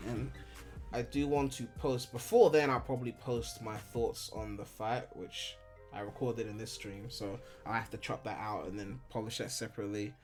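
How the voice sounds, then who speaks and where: A man's voice: lowish voice, fairly even intonation, moderate pace, a little mellow, faint music behind. A man in his twenties from Ghana, chatting casually on a live stream at home, rambling and candid with lots of filler and swearing. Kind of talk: casual live-stream chat answering viewers.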